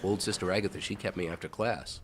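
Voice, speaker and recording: A man's voice: sits low, steady tone, quick pace, slight background noise, lightly acted. A man playing a folksy older country fellow who earnestly recalls his boyhood in an audio drama. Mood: earnest, confiding.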